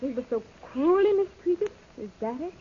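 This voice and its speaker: An actress playing a worried, spirited young missionary's daughter, earnest and questioning, in a 1930s radio serial. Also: female voice, high voice, lively intonation, normal speed. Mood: fretful. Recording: tinny, noisy old radio recording.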